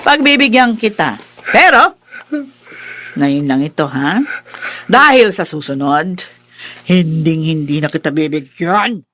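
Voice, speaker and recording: An actress playing a cruel, haughty middle-aged stepmother in a radio drama, sneering and taunting with drawn-out questions. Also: low voice, lively intonation, fairly bright tone, exaggerated voice. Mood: taunting, domineering.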